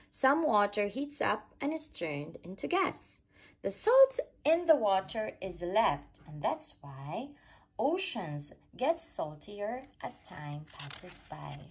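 A woman reading a rhyming children's picture book aloud in an expressive, sing-song storytelling way. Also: medium pitch, slightly rough. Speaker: storytime reader.

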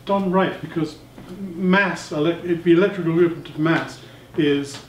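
A man's voice: a little high, moderate pace. A man in his sixties interviewing someone in a technical conversation. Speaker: interviewer, magazine editor. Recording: roomy, distant mic, faint background noise.

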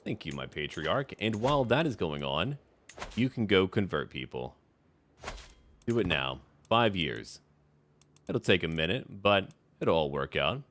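A man's voice: deep voice, very animated, unhurried, a bit bright, a little hiss or hum. A man giving roleplay commentary on a strategy game, musing theatrically in character like a schemer. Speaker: gamer narrating a strategy game.

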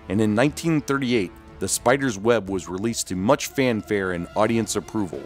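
A man hosting and narrating a scripted documentary-style video. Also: fairly low pitch.

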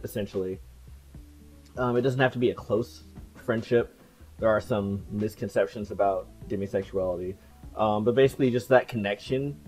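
A person in their late twenties chatting casually to camera in a vlog, relaxed and full of fillers and soft laughs, on a headset mic in a small room. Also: medium pitch, low-quality recording. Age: late 20s. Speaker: video creator. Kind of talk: casual talking-head vlog. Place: small cluttered room indoors.

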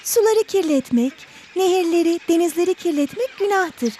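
A woman's voice: very high-pitched, animated, normal speed, warm tone. A woman voicing an eager, scatterbrained little fish pupil in an educational cartoon, keen but hesitant. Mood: eager, muddled. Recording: clearly noisy background.

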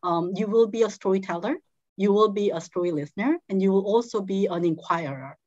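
A woman facilitating an online game on a video call, explaining steps patiently with soft laughs. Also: medium pitch, fairly quick, dry recording. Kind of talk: casual guiding of an online game. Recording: dull, dry video call audio.

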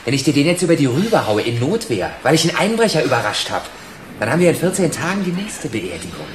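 A man's voice: medium pitch, big pitch swings, quick pace, natural voice. Man acting a vengeful young ex-con, radio drama, mocking, bullying, swooping intonation, echoey noisy recording. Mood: cold threatening. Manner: sneering, sarcastic, bullying. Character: vengeful young ex-convict apprentice, menacing and mocking.

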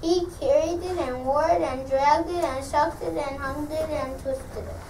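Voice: high-pitched, steady tone, a little slow, darkish tone. A young child reading story narration from a script in a school play. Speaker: pupil narrator in class play. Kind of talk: scripted read-aloud narration. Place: school classroom, indoors. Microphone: distant camera. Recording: echoey classroom, distant mic, noisy.

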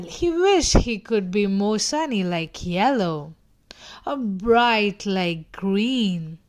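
A woman reading a children's storybook aloud with dramatic, deliberate phrasing. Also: medium pitch, a little mellow. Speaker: storybook reader. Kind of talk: expressive children's read-aloud narration.

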